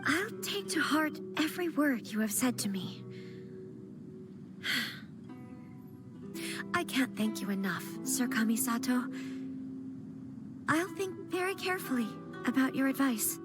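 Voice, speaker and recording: A woman voicing a gentle young noble lady who speaks hesitantly with soft sighs in a game. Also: high voice, lively intonation, measured pace, long pauses. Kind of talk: video game voice acting. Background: game music.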